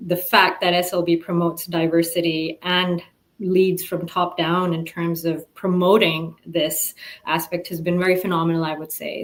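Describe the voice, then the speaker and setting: A woman of about forty, from the Emirates, answering interview questions in a measured way with frequent ums. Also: fairly low pitch. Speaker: interview guest. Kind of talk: online panel interview.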